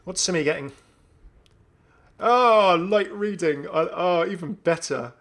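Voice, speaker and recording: A man's voice: high voice, unhurried, a bit bright. A man hosting a gaming video, commenting casually and enthusiastically as he thinks aloud. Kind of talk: casual game update commentary.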